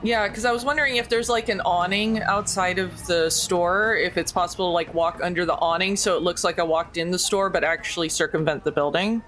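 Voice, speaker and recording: A woman's voice: fairly low pitch, steady tone, a little fast, few pauses, a bit bright, slight background noise, rough recording. A woman playing a tabletop game, speaking in a casual, conversational style.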